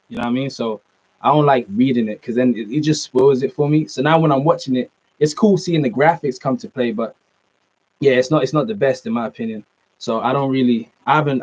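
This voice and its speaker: A young man with a British accent chatting casually on a live stream, answering viewer comments in a laid-back way and calling them man and bro. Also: mid-range voice. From Norway, raised in the UK. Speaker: fitness streamer. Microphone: laptop mic. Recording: slightly dull lo-fi sound.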